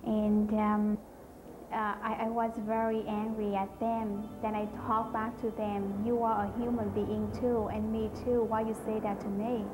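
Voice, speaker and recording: Flat-toned young Vietnamese-accented woman. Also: mid-range voice, over music, low-quality recording. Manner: simple, halting English with pauses. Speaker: interviewee. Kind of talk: personal testimony.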